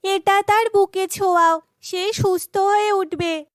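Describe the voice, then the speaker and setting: Woman as a very high, sweet cartoon creature. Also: steady tone, measured pace, dry recording, studio quality. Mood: reassuring. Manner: sweet, earnest. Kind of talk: children's cartoon acting.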